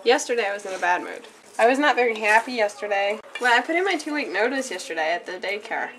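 A woman's voice: medium pitch, animated, fast talker, fairly bright tone, slight background noise, poor audio. Playful young woman cooking at home. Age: young adult. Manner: playful, giggly. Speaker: daycare worker cooking. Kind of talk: casual chat while cooking. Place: home kitchen, indoors. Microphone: camera mic at a short distance.

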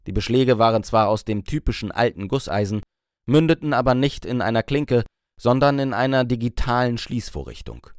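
A man's voice: on the low side, no echo, clean studio sound. A man reading a novel aloud as scripted audiobook narration.

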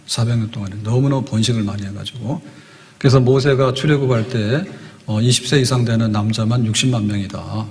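A man's voice: medium pitch, darkish tone. Older male pastor preaching in a reverberant hall. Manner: explanatory storytelling with rhetorical questions to the congregation. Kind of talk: sermon. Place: church hall, indoors at a pulpit. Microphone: podium microphone. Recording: long hall reverb, church sound system.

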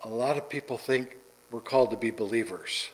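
A middle-aged man preaching a sermon from the pulpit in a church sanctuary, deliberate and repeating words for emphasis. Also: mid-range voice, low-quality recording. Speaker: pastor. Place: church sanctuary, large indoor room.

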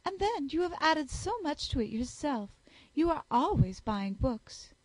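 A woman reading aloud, voicing a snobbish, flattering young society lady in a gushing manner. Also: sits high, unhurried, no echo, voice pushed up, poor audio. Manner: gushing, fawning. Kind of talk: audiobook character voice.

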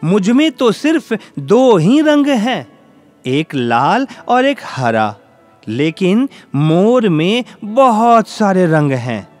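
Man voicing a plaintive, high-pitched parrot. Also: big pitch swings, takes it slowly, faint music behind, full character voice. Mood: plaintive, envious. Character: modest, discontented parrot in a fable.

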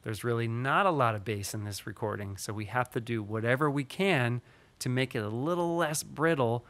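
A man in his thirties teaching audio editing in a relaxed, explanatory way, recorded on a studio microphone in a small home studio. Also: mid-range voice, normal speed. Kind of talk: screen-recorded tutorial. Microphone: studio mic in frame, wearing headphones.

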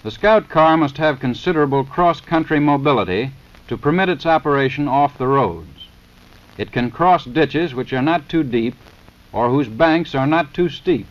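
An American man reading a formal 1930s scripted narration for a training film in a measured, matter-of-fact, instructional manner. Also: fairly high pitch, animated. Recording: dull, lo-fi 1930s film soundtrack.

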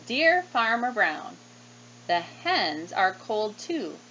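A storybook cow with firm, polite demands, read out by a woman. The delivery is measured and slow, with a bright tone. The sound is a little dull. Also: medium pitch, very animated, slight background noise, full character voice. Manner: measured, deliberate letter-reading. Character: polite but firm cows writing notes to farmer.

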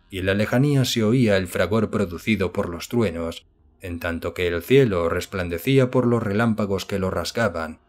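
A man's voice: lowish voice, a little mellow. A man narrating a horror audiobook as scripted, solemn literary storytelling.

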